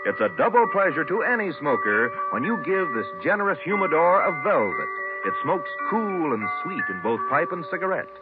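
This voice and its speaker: A man's voice: a little high, sing-song, normal speed. A man reading commercials as an announcer in the polished sales style of 1940s radio. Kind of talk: 1940s radio advertising read. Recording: tinny old radio recording, music behind.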